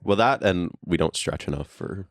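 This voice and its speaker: A young man talks in a deep, low register, relaxed and agreeable, often breaking into a chuckle. His voice is picked up dry and close, with no room around it, as he co-hosts a casual podcast from a basement. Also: normal speed, no room sound. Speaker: podcast co-host. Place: friend's basement.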